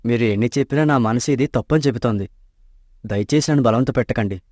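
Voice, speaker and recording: A man's voice: mid-range voice, quick pace, a little mellow, no room sound, studio quality, close to an everyday voice. An actor playing an honest, poor young man in love in a storybook tale, earnest and troubled.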